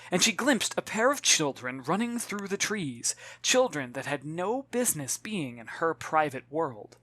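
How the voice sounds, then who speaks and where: A narrator reading a children's novel as expressive audiobook storytelling, dramatic and clearly articulated, with wry asides. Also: mid-range voice, no room sound. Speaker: author reading own novel.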